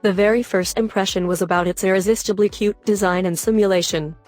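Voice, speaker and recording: A woman's voice: medium pitch, steady tone, fast, darkish tone, no room sound. A woman reading scripted narration as the voice-over for a product review. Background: background music.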